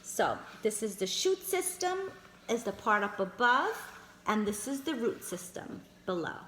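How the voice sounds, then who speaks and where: A woman's voice: fairly high pitch, big pitch swings, unhurried, fairly bright tone, slight background noise. A woman reading a picture book aloud to young children, stopping to point out the pictures and calling her listeners friends. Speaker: children's storyteller. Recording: echoey room.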